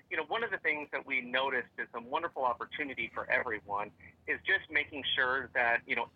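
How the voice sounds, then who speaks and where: A man's voice: medium pitch, breathy edge. Rambling man on a dull line. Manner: rambling, many fillers and restarts. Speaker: tech company guest. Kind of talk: interview answers.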